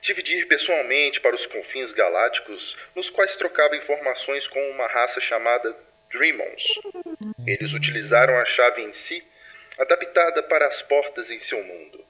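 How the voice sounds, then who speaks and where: A man playing a formal, authoritative patrol leader who reads secret archive files in measured, solemn sci-fi audio drama narration. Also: mid-range voice, animated, sharp tone, lo-fi sound. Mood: formal, proud.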